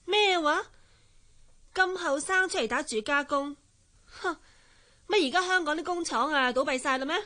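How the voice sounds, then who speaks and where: A spiteful young society woman, speaks high and imperiously. Her Hong Kong accent carries a coquettish, sneering edge, in classic radio drama style. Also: moderate pace, long pauses, sharp tone. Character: haughty, spiteful young rich woman, jealous girlfriend. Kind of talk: Cantonese radio drama acting.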